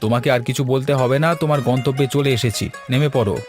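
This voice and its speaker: A man's voice: mid-range voice, fast talker, natural voice. A man voicing a plain-spoken young village boatman in a cartoon, matter-of-fact, with music behind. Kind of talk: cartoon character dialogue.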